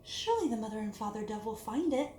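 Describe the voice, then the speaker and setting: A woman's voice: fairly high pitch, very animated, fast talker, warm tone. An innocent young female rose from a storybook, voiced by a woman reading aloud, hopeful and tender-hearted. She speaks with earnest, wondering emphasis in an echoey room with slight noise. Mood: worried. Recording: echoey room with clear background hiss.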